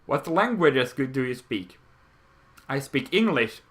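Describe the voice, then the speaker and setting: A man's voice: mid-range voice, sing-song, slow pace, fairly bright tone. A man, casually answering viewer questions in a rambling way that keeps correcting itself. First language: Swedish. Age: 20s. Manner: rambling and self-correcting, with frequent restarts. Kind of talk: casual Q&A vlog.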